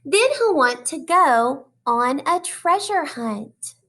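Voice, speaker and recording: Female storybook reader for young children: high-pitched, unhurried, expressive teacher delivery. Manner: addresses children directly with questions and praise. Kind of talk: children's storybook read-aloud.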